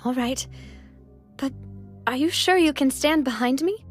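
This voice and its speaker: A woman's voice: sits high, a little slow, faint music behind. An actress playing an earnest, polite young lady leader who is easily puzzled, in a video game. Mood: uneasy. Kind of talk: English video game voice acting.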